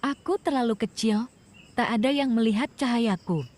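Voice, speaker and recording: A woman's voice: fairly high pitch, normal speed, darkish tone, lightly acted. A woman voicing small, clever, innocent creatures in children's bedtime stories. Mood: sad. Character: small clever mouse-deer and tiny sad star. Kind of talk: children's storybook character acting.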